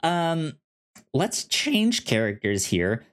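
A man's voice: a little high, huge pitch swings. A man co-hosting a podcast, chatting casually and enthusiastically about films, often stumbling and restarting his words. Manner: halting, stammering over words, enthusiastic. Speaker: podcast co-host. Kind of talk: casual film discussion chat.

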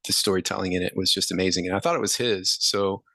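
A man in his thirties, a musician guest, answering interview questions in a relaxed, rambling way with many fillers. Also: deep voice, fast, no room sound.

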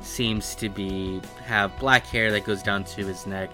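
A man narrating a tabletop roleplaying game as game master, improvising his descriptions in halting phrases. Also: fairly low pitch, fairly even intonation. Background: background music.

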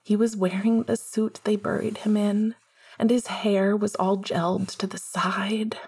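A woman's voice: medium pitch, flat delivery, a little slow, darkish tone, husky. A woman reading scripted fiction as an audiobook narrator.

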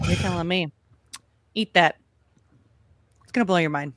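A woman's voice: on the low side, moderate pace, fairly bright tone. A woman playing a tabletop game, talking in a wry, teasing way and laughing often. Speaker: player. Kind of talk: casual tabletop play.

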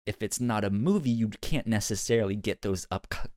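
A man's voice: lowish voice, brisk, darkish tone, no echo, clean studio sound. A man co-hosting a review podcast in casual conversation, rambling and often repeating words as he goes. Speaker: podcast co-host.

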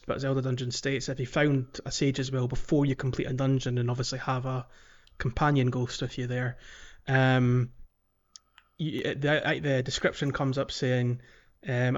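A man's voice: medium pitch, lo-fi sound. A man hosting a podcast, talking casually about video games in a chatty, hesitant, rambling way. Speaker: podcast host. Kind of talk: casual video game discussion.

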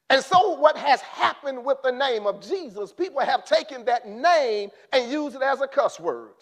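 High-pitched preacher man, gets loud then drops down quiet. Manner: impassioned, rising to shouts then dropping low. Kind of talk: sermon.

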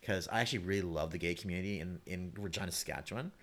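A man chatting casually as a playwright guest on a panel, telling stories in an animated, emphatic way. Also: low-pitched, rapid-fire.

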